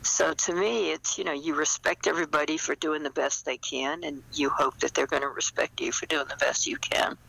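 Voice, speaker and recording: An older woman chatting as an interview guest, reflective and anecdotal, with hesitant pauses. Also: low-pitched, steady tone, gravelly, a bit bright, dry recording, rough recording. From small-town United States. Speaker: guest, novelist. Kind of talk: casual interview chat.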